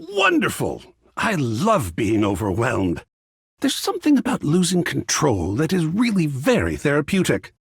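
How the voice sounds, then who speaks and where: A man voicing a whimsical, effusive video game character who is art itself, raspy and gravelly, speaking in a grandiose, florid, theatrical way. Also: medium pitch, dry recording, studio quality, exaggerated voice. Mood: delighted. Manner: grandiose, florid, savoring words with dramatic swoops. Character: flamboyant, whimsical living embodiment of art, effusive and philosophical.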